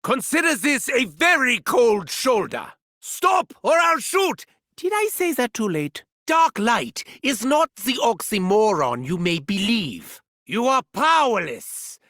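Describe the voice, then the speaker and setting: An actor playing a gleeful, sadistic mad scientist villain in a video game, theatrical and taunting, with a German accent. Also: male voice, very high-pitched, sing-song, takes it slowly, pushed and bright, dry recording, clean studio sound, exaggerated voice. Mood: playful, taunting, then sadistic relish. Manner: theatrical, swooping from gleeful shrieks to sinister murmurs. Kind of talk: video game character voice lines.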